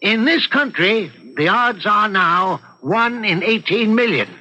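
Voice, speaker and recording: An actor playing a stern, suspicious, pedantic older husband of means in an old-time radio mystery. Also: male voice, high voice, expressive, a little slow, pushed and bright, lo-fi sound, full character voice. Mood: pedantic, grim.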